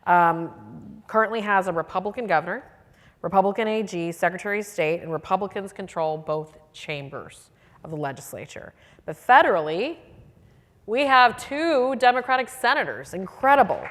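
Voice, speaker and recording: A woman's voice: mid-range voice, animated, moderate pace, fairly bright tone, long reverb tail. A woman from Texas moderating a political panel, asking prepared questions. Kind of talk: panel interview.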